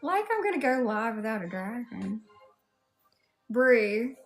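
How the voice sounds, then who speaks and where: A woman with a Southern US accent chats casually as a livestream host. Her voice sits on the high side and she takes her time, speaking to viewers individually. Music plays behind her. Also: big pitch swings. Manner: unhurried, chatty, addressing viewers one by one.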